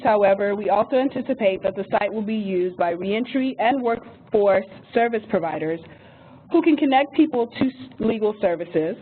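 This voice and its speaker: A woman moderating a webinar, reading housekeeping notes and an introduction from a script. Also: mid-range voice. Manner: reads phone number slowly and carefully. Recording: tinny phone-line audio with faint hiss.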